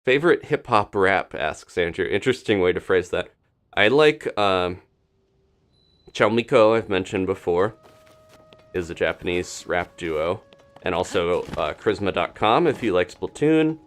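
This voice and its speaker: A man's voice: low voice, animated, measured pace. A man doing live gameplay commentary as a streamer, chatting casually and thinking aloud in a rambling way. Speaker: video game streamer.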